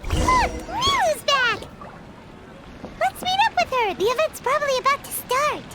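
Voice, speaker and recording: A woman voicing a tiny, eager and curious fairy companion in a video game, with a squeaky childlike voice. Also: very animated, measured pace, loud music behind the voice, full character voice. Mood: excited.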